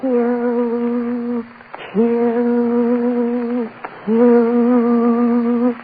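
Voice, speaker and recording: A sinister phantom woman in 1930s radio horror. She chants her commands in a flat, dragging monotone, heard through a muffled, narrow old radio recording. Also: on the high side, very slow, soft background music. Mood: menacing, hypnotic. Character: sinister spectral woman from hell, hypnotically commanding.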